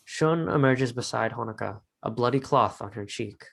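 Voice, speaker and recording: A man's voice: mid-range voice, moderate pace, creaky, a bit bright. A man narrating a script, reading out stage directions in short phrases.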